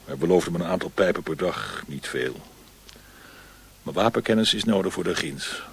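A man's voice: low voice, animated, moderate pace, vocal fry, a bit bright, breathy edge, full character voice. An actor playing a cynical, world-weary disgraced officer with an opium habit in a radio drama, grumbling as he talks. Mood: sardonic, bitter.

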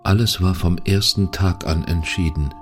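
A man giving grave, measured narration in a literary radio drama. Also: low voice, music in the background.

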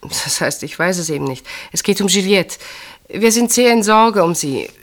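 A woman's voice: on the low side, lively intonation, normal speed. An actress playing a guarded, troubled woman in a German radio play, speaking in a hesitant, evasive dramatic manner. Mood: worried.

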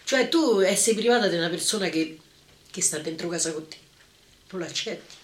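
A woman's voice: lowish voice, moderate pace, echoey room, a little hiss or hum. An older woman with a Roman accent giving spontaneous personal testimony as a witness.

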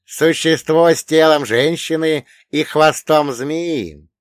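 A man voicing a wise, centuries-old dead grandfather in an audiobook, telling old lore in an emphatic, drawn-out, lecturing way. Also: fairly high pitch, no room sound, clean studio sound, pitched above the performer's own voice. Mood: mysterious. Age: older. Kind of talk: audiobook character voice.

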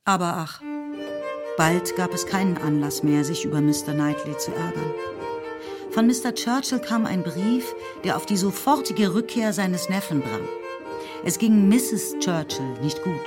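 A woman giving scripted literary narration in a measured storytelling manner. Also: on the low side, over loud music.

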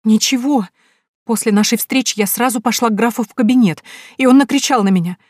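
A woman voicing a tearful young female impostor who pleads in a high, sobbing voice. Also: fairly quick, darkish tone, no room sound, lightly acted. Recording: dry close recording.

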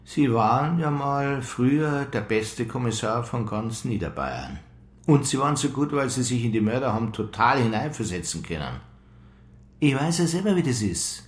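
An actor playing a sceptical police superior in a radio play, official and deliberate. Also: male voice, medium pitch, very animated, echoey room, close to an everyday voice. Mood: probing, reproachful. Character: sceptical, measured male police superior, quietly probing. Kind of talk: radio drama acting.

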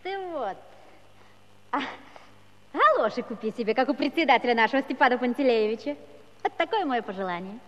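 An actress playing a proud, sharp-tongued young Cossack village girl, scornful and teasing, in a theatrical musical comedy style. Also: on the high side, lively intonation, a little fast, pauses often, long reverb tail, muffled recording, natural voice. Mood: coy challenge.

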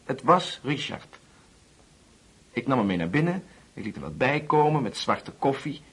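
A young orphan man in a radio drama. His voice is very husky and breathy at a middle pitch. He speaks politely and haltingly, often correcting himself. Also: very animated, fairly bright tone, full character voice. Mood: earnest, regretful. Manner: halting, apologetic, with self-corrections. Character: hesitant young orphan guest, polite, lonely, insistent. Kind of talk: Dutch radio play acting.

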